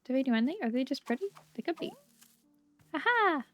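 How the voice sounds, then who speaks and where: Female gameplay commentator, higher register, casual and chatty, little asides to self, music underneath. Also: very animated, fast, fairly bright tone. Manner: chatty, murmuring asides to herself. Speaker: video game streamer. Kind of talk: casual gameplay commentary with dialogue read aloud. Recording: game music behind the voice.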